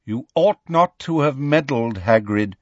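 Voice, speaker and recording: Guy reading as a proud stern centaur, smooth formal talk, calm menace, voice lifted above his own, storybook style. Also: mid-range voice, measured pace, dry recording, dull audio, voice pushed up.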